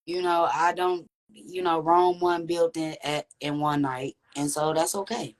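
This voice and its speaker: A woman with a deep, raspy voice, speaking candidly and reflectively as a podcast guest. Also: fairly even intonation, unhurried. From Texas. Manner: reflective, earnest, with frequent "you know what I'm saying". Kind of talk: candid conversational interview.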